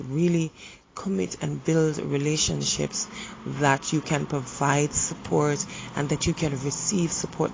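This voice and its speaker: Hoarse woman with a heavily gravelly voice, earnest and halting, sharing gentle encouragement. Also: deep voice, a little hiss or hum, rough recording. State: hoarse from the flu. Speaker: relationship speaker. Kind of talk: reflective motivational monologue.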